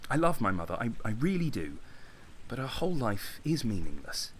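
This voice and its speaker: An actor playing a bitter, insecure young man in a naturalistic audio drama, speaking intensely with dramatic pauses. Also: mid-range voice, sing-song, fairly bright tone, husky. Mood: wounded, self-pitying. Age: young adult. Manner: intense, agitated, self-pitying, with dramatic pauses. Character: young man, Konstantin, bitter, insecure, impassioned would-be artist.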